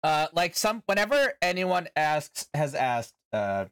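A man's voice: on the high side, big pitch swings, unhurried, fairly bright tone, clear, resonant tone. A man who streams, talking in a casual, rambling chat and often breaking off his own sentences. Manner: rambling, self-interrupting. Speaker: streamer, podcast host.

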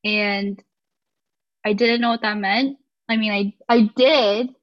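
A woman's voice: mid-range voice, steady tone, takes it slowly. A young woman from the United States, speaking thoughtfully and hesitantly as a guest in a casual podcast conversation. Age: 20s. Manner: thoughtful, hesitant, many fillers. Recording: slightly muffled lo-fi audio.

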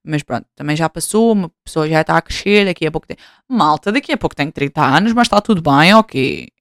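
A woman's voice: sits low, lively intonation, a little fast, dry recording, clean studio sound. A woman in her twenties with a European Portuguese accent, hosting a podcast in casual chat, rambling, swearing freely and mocking herself. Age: 20s. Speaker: podcast host.

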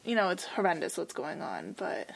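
A woman's voice: on the low side, sing-song, moderate pace, gravelly, husky, low-quality recording. A woman co-hosting a podcast in casual chat, upbeat and chatty as she asks questions. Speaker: podcast co-host.

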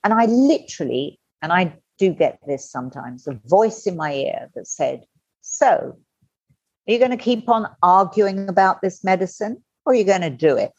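A middle-aged woman telling her personal story as a podcast guest, candid and animated, acting out the voices she quotes. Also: on the low side, moderate pace, slightly rough, no echo. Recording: dull lo-fi dry sound.

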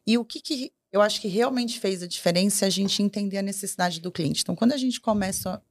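A woman in sales chatting on a business podcast, telling a story in a lively way and correcting herself as she goes. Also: medium pitch, fast. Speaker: sales partner. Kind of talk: casual podcast chat.

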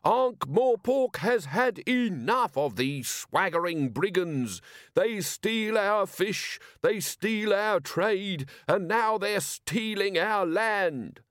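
An outraged soapbox demagogue from a storybook. The voice is pushed high and loud, with long, stretched words and a screaming, ranting delivery. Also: male voice, expressive, slow pace, no room sound, clean studio sound, heavily acted. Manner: shouted, stretched-out oratory.

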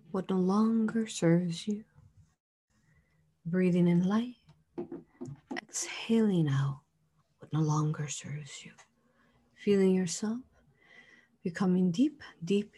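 Breathy, half-whispering woman guiding a slow meditation. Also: on the low side, mellow. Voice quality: breathy, often dropping into a whisper. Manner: soothing, drawn-out phrases with repetition. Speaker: guided meditation leader. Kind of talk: guided meditation, slow and hypnotic.

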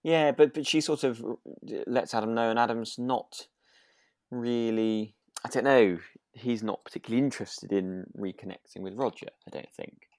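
A man's voice: medium pitch, normal speed, a little mellow, no room sound, clean studio sound. A man chatting casually as a podcast co-host, thoughtful and tentative, often seeking agreement. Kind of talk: relaxed chat discussing a radio drama.

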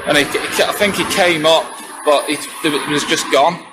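A young man from England, a witness recounting his experience in a halting, hesitant way, outdoors on a residential street. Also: fairly high pitch. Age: young adult. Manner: hesitant, halting, many fillers. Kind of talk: casual first-hand recounting. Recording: noisy outdoor ambience.

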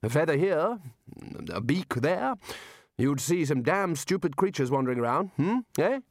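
A man's voice: very animated, normal speed, dry recording, clean studio sound. A man voicing a rowdy, bossy older head wizard who blusters and lays down the law. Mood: blustering. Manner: blustering, booming, sure of himself.

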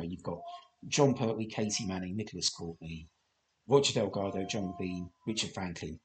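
A man hosting a podcast, chatting through a TV commentary with a slightly croaky voice, rambling and hesitant with many fillers and restarts, self-deprecating. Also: mid-range voice. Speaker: podcast host. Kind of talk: casual film commentary.

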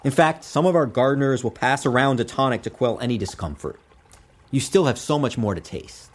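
A man playing a calm banquet host in an audio drama, addressing guests in a placating way. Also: medium pitch, a little fast, natural voice. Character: calm male host reassuring diners at a strange feast.

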